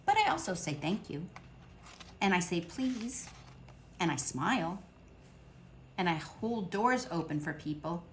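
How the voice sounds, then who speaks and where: A woman reading a picture book aloud to children at storytime, expressive, with dramatic pauses. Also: lowish voice, a little hiss or hum.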